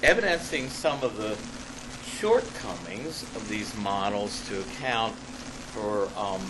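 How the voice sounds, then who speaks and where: An older man reading an academic paper aloud to a class, halting and deliberate, pausing for asides and repeating himself. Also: fairly low pitch, lively intonation. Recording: clearly noisy live classroom recording.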